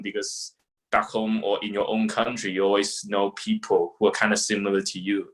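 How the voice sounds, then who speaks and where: A man's voice: fairly low pitch, a bit bright. A man in his twenties with a Hong Kong accent, answering casually and informally as a podcast guest. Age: 20s. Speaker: podcast guest, young lawyer.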